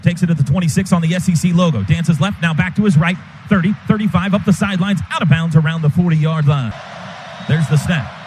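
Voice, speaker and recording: A man doing live radio play-by-play of a football game in clipped, vivid, excitable calls. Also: a little high, brisk. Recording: radio broadcast over loud stadium noise. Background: stadium crowd noise.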